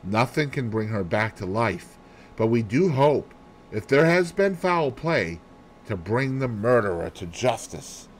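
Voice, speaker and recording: A man voicing a calm, self-assured, probing detective in an audiobook, with a businesslike, deliberate manner. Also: medium pitch, slight background noise, poor audio. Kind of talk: audiobook character voice.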